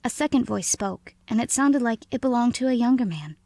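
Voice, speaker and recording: A woman's voice: fairly high pitch, animated, brisk, mellow, dry recording. A woman narrating a scary story in the first person, reading from a script.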